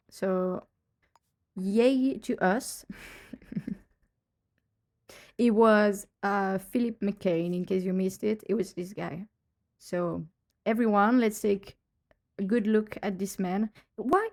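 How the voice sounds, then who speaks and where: Woman with a cold, gravelly and mellow. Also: on the high side. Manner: chatty, rambling, laughs often. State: has a cold, voice lowered. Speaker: streamer playing a detective game. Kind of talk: casual live-stream chat.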